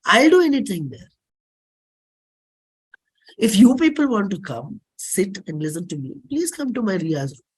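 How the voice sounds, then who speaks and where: A woman with an Indian accent giving a dramatic reading of a play script in a deliberate, declamatory manner. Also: mid-range voice, big pitch swings, breathy edge, poor audio. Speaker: performer reading own play.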